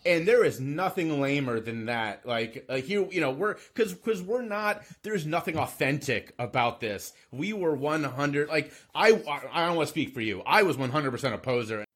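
A man in his thirties, self-deprecating and quick to laugh in a casual conversation. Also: fairly high pitch, expressive, fairly bright tone, husky. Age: 30s.

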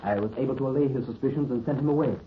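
An actor playing a formal, deferential butler in 1930s radio drama style. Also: male voice, mid-range voice, racing, close to an everyday voice. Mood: deferential, grave. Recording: tinny, hissy 1930s radio recording.

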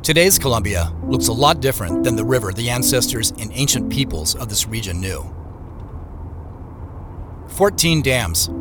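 An actor with an American accent playing a reflective man who narrates his road trip in a measured, literary audio drama style. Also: medium pitch, natural voice. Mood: reflective, wistful.

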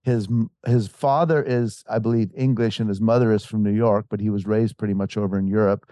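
Man, author guest, casual storytelling, rambling, restarts, mellow tone, dry sound. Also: on the low side, fairly even intonation, fairly quick, dry recording. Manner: rambling, with many restarts and fillers; acts out quoted voices.

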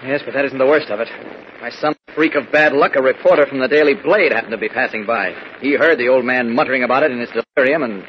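An actor playing an earnest, excitable male reporter with an urgent manner, in 1940s radio style. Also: medium pitch, quick pace, lightly acted. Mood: grave. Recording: muffled old radio recording, faint noise.

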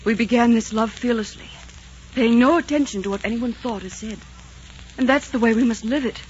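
A woman's voice: a little high, a little fast, darkish tone, slight background noise, lightly acted. An actress playing a passionate, despairing queen in love, in theatrical, romantic 1940s radio drama style. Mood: yearning. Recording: muffled 1940s radio recording.

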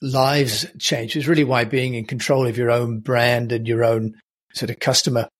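A male podcast host running an interview in a friendly, measured way, dotting his questions with "you know". His voice has a husky edge at a middling pitch. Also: little pitch movement. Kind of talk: interview hosting.

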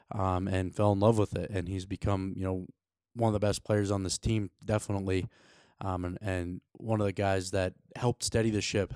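A man presents a sports show in a conversational, easygoing style. His voice is on the low side and a little mellow, recorded close and dry. He sounds like a host chatting casually to his listeners and guests. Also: clear, resonant tone, dry recording.

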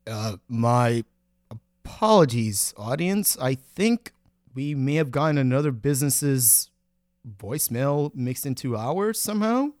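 Man, smooth clear voice, casual comedy host, mock-earnest, close dry recording. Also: mid-range voice, very animated, a little slow, darkish tone, no room sound. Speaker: podcast host. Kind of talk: casual comedic podcast banter.

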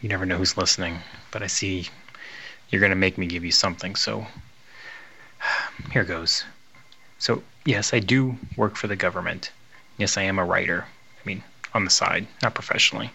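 A very low, sharply bright male voice, a man acting an anxious, exasperated government worker. He is guarded and conversational, often cutting himself off, in audio drama style. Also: exaggerated voice. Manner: guarded, conversational, self-interrupting. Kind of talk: audio fiction voicemail monologue.

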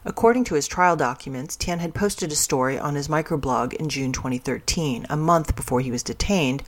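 Podcast news reader, medium pitch voice, reading a written story out loud. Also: fairly quick. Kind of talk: scripted narration of news stories.

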